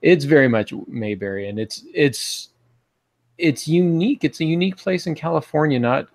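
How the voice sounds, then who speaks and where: Podcast host: American male, forties, creaky voice, informal teaching style. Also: fairly high pitch, moderate pace. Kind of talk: casual instructional podcast talk. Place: small home office, indoors. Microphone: earbud headset on video call.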